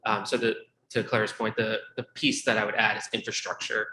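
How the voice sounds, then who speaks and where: A man speaking as a panelist about his investment role, quick and a little self-deprecating. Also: fairly low pitch, flat delivery, pushed and bright. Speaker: endowment investment staff, panelist. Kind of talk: conference panel remarks. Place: conference room with live audience. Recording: echoey room, faint hum.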